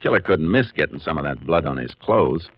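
An American actor with a Western accent playing a calm, methodical Texas Ranger in a 1950s radio western, clipped and matter-of-fact. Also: male voice, extremely low pitch, fairly quick. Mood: businesslike, probing. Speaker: lead actor in a radio drama. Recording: muffled, noisy 1950s radio recording.

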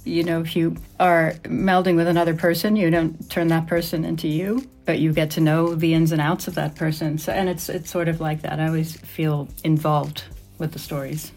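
A woman's voice: deep voice, level tone, moderate pace, few pauses, darkish tone, soft background music. A woman fiction editor talking conversationally in an interview.

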